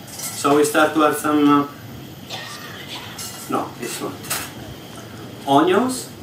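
A man with a fairly high voice walks an audience through a recipe on stage, in patient, slightly broken English. The sound is caught from far away in a large reverberant room, with faint background noise. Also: takes it slowly. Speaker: executive chef. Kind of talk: live cooking demonstration. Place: large hall on a ship, in front of an audience. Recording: echoey hall from far off.

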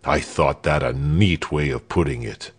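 A man's voice: extremely low pitch, measured pace, breathy edge, voice pushed down, heavily acted. A man voicing an earnest, slightly indignant male village spokesman recounting a visit.

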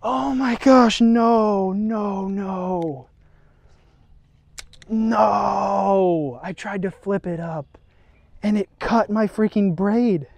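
A young man vlogging while fishing outdoors by a river, talking excitedly to viewers on an action camera. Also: high-pitched, drawn-out words, vocal fry, dry recording, lo-fi sound. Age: young adult. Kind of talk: casual outdoor vlog.

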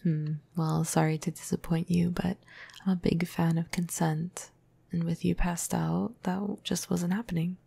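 A woman playing a flirty, caring vampire crush in an intimate ASMR roleplay, speaking softly and breathily into a close microphone with casual hesitations. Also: flat delivery, measured pace, dry recording, heavily acted. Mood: teasing, flirty. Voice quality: breathy, soft, husky, low. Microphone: close microphone, dry.

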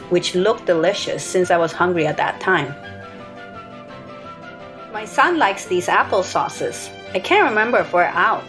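A woman in her thirties or forties reading a scripted voice-over, listing her grocery purchases in an even, matter-of-fact way. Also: on the low side. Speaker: grocery haul vlogger. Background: soft background music.

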